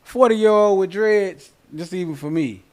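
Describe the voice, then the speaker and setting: A man's voice: high-pitched, measured pace, a little mellow. A forty-year-old man chatting casually and informally as a podcast guest. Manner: informal, conversational, repeats "you know what I'm saying". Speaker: podcast guest, cornhole player.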